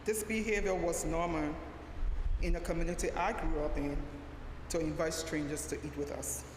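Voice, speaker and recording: A woman's voice: low-pitched, steady tone. Woman reading a sermon, echoing hall. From Liberia. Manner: measured, deliberate reading with occasional restarts. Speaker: lay preacher, social worker. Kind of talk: sermon read from a prepared text. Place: church sanctuary. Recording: echoey church hall, faint background hum.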